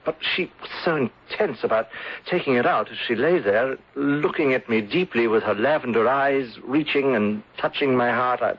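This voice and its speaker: A man's voice: medium pitch, moderate pace, husky, natural voice. An actor playing a lovestruck, vengeful English man of thirty in a radio melodrama, earnest and intense. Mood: anguished recollection. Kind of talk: melodramatic radio drama acting. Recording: dull lo-fi radio broadcast.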